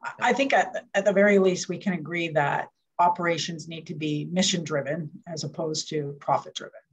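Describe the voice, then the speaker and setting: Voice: mid-range voice, fairly quick, husky. A host moderating a town hall on a video call, in a friendly, conversational way. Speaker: host, councillor.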